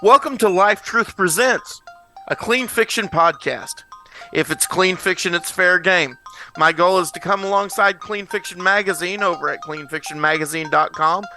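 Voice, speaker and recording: A man's voice: high voice, normal speed, bright, forceful tone. A man delivering a scripted podcast introduction as its host. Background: music.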